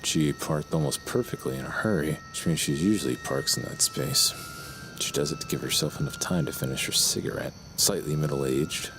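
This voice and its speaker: A man's voice: extremely low pitch, moderate pace, noisy background. A man playing a desperate crime writer in an audio drama, wordy and theatrical, talking himself toward something sinister. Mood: coldly persuasive.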